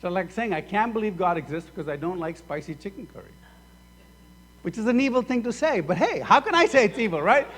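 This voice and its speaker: A man's voice: sits high, fairly quick, breathy edge. A man from Sudan preaching a sermon as pastor, acting out both sides of imagined conversations. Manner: animated, acts out both sides of dialogues. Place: church sanctuary. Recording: echoey hall reverb.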